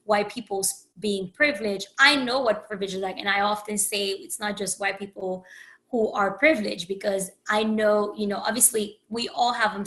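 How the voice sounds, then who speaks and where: A woman's voice: mid-range voice, fairly bright tone. A woman from Haiti, a podcast host and coach, reflecting candidly in a rambling solo talk with many restarts. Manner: candid, rambling, with frequent restarts and "you know".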